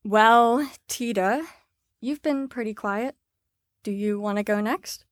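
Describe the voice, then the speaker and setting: A woman's voice: a little high, slow pace, no echo, studio quality. A woman playing a harried, rule-bound group leader in an audio drama, placating and officious. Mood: patient, wry.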